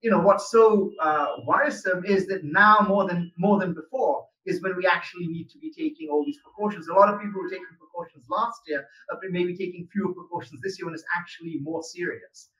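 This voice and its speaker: A man's voice: high voice, a little fast, hardly any pauses, fairly bright tone, recorded from a distance, highs cut off. A man hosting an interview as a newspaper editor, talking conversationally with many hedges and fillers.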